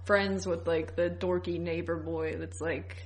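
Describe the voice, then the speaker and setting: Female podcast co-host, on the lower side, very informal, conversational, says "like" a lot. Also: little pitch movement, unhurried. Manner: informal, heavy use of "like". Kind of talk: casual podcast chat.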